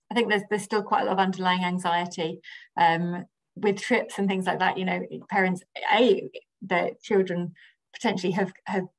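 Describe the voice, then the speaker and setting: A woman's voice: on the low side, rough recording. A middle-aged woman hosting a panel discussion over a video call, drawing out each guest in turn. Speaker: host, panel moderator. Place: home room with shelves and plants.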